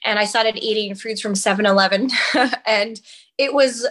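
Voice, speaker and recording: Upbeat woman, slightly high. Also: moderate pace. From Australia. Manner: animated storytelling with light laughs. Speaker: guest nutritionist. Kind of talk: conversational interview.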